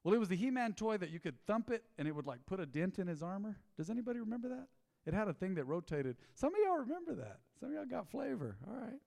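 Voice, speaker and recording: Folksy preacher in a reverberant hall. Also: male voice, big pitch swings, fast, creaky. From Texas. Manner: folksy storytelling, swings from low asides to loud emphatic bursts. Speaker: pastor. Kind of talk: sermon. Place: church sanctuary.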